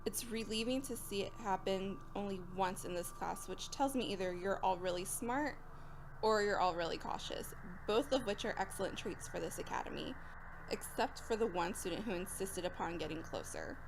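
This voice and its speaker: Audio drama teacher female actor: mid-pitched, instructive, brisk and opinionated, faint ambient noise behind. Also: natural voice. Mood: amused, instructive. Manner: instructive, matter-of-fact.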